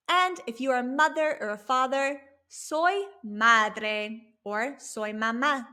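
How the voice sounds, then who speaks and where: Woman, language teacher, bright tone, slow deliberate pace, encouraging. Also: a little high, clean tone. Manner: encouraging, slow careful drills of words. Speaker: Spanish teacher. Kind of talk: video language lesson.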